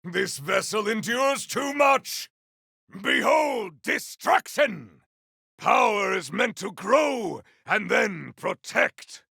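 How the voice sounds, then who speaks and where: A man playing a zealous, boastful, battle-hungry warrior champion in a video game, with a raspy voice and bombastic, archaic delivery. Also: high-pitched, animated, takes it slowly, sharp tone, no room sound, shouting, studio quality, heavily acted. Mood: battle fury. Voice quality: gravelly, raspy. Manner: bombastic, archaic, theatrical declamation. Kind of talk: video game combat barks.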